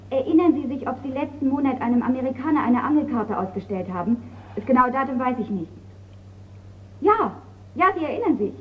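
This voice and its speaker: An actress playing a polite, composed lady of a country estate in a radio crime drama. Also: high voice. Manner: courteous. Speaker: radio play actor. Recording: distant, dull, noisy radio play recording.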